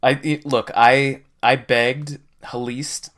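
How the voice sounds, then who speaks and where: A man's voice: mid-range voice, flat delivery, slow, fairly bright tone. A man hosting a podcast, talking casually and conversationally as he explains his opinions. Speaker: podcast host.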